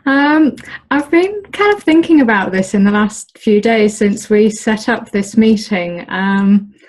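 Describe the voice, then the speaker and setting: A British woman talking casually in an interview as the owner of an olive grove, pausing often with ums and restarts. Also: mid-range voice, lively intonation, a little mellow, rough recording. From United Kingdom. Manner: hesitant, many ums and restarts.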